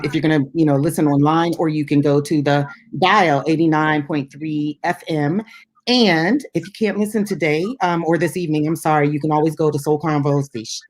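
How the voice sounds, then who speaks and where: A woman co-hosting a music talk show, chatting casually and unscripted in a rambling, easygoing way with ready laughter. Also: low-pitched. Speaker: radio show co-host.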